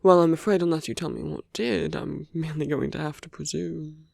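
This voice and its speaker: A performer voicing a smug, flirtatious villain in an ASMR roleplay, teasing and intimate, with a breathy murmur that often slips into whispers. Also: medium pitch, fairly even intonation, brisk, darkish tone, no echo, clean studio sound. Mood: sly, probing. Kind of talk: ASMR romantic roleplay audio drama.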